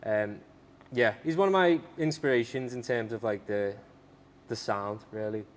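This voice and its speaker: A man's voice: mid-range voice, normal speed. A young British man from the UK, a singer-songwriter guesting on a podcast, chatting casually and often trailing off. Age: young adult.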